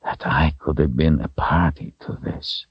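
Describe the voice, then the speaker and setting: A man voicing a kindly, tender storybook wizard, thoughtful and playful, with a drawn-out delivery. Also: lowish voice, wildly swooping pitch, vocal fry, breathy edge, no echo, highs cut off, pitched above the performer's own voice, exaggerated voice. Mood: tender, sad.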